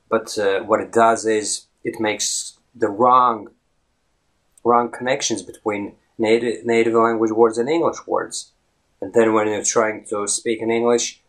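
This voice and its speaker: Man, native Latvian speaker, English fluency coach, low voice, chatty talking-head lesson. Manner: conversational, often ends with a questioning "right?". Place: indoors in front of a green screen. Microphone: close camera, head-and-shoulders framing.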